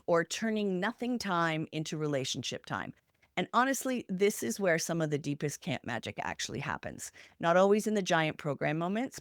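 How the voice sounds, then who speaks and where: Woman podcast host, lowish voice, dry close sound, teaching point by point with emphatic little lists. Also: dry recording. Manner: emphatic, listing examples in short parallel phrases. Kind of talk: structured instructional podcast talk.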